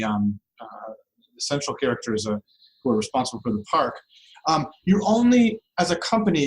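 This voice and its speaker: Male law professor as interview guest, mid-pitched, discursive and conversational, explains step by step. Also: poor audio.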